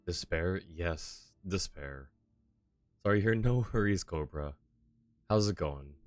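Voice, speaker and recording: A man's voice: deep voice, lively intonation, slow pace, dry recording, faint music behind. A young man chatting casually and self-deprecatingly while streaming a game. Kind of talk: casual live-stream chat.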